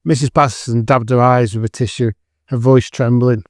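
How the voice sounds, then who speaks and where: A man's voice: mid-range voice, fairly even intonation, normal speed, no echo, clean studio sound. A man giving scripted dramatic narration for an audio drama.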